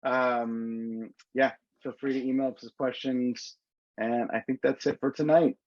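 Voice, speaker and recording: A man's voice: mid-range voice, fairly even intonation, unhurried, no echo. A man presenting rates on a travel webinar over a video call, talking casually with many fillers and restarts. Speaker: tour organizer. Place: indoors in a city. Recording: dull lo-fi video call audio.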